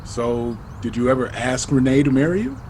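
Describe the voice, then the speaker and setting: A man's voice: mid-range voice, expressive, moderate pace, darkish tone, a little hiss or hum, natural voice. A man acting in an audio drama as a casual, curious friend probing for news. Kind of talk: naturalistic scripted audio drama.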